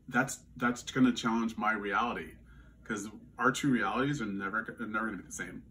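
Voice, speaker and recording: Man, Louisiana-raised, no accent; measured, sincere interviewee, slightly distant mic. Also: lowish voice, fairly bright tone, a little hiss or hum. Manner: earnest, measured, with frequent restarts. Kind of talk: reflective interview answers.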